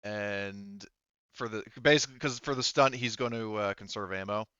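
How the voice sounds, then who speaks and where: Smooth, low-voiced male game master. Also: sharp tone, no echo, dull audio. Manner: halting, thinking aloud while checking rules. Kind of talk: casual tabletop game refereeing.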